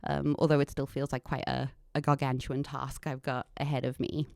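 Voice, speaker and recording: Woman, thirties, anglicized South African accent, clear smooth voice, dry close recording, candid interview storytelling. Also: extremely low pitch, level tone, normal speed, a little mellow, no echo. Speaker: podcast guest, environmental consultant and business owner. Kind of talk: conversational interview, personal story.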